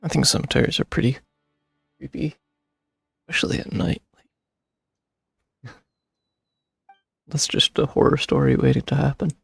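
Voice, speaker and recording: A streamer with a husky, creaky voice, reacting casually to a game. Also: medium pitch, unhurried, long pauses, no room sound, faint music behind.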